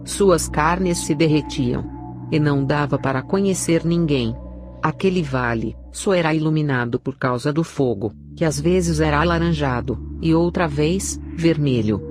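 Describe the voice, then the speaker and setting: A woman's voice: sits low, normal speed. A woman with a Brazilian accent narrating a scripted, dramatic religious testimony. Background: prominent music.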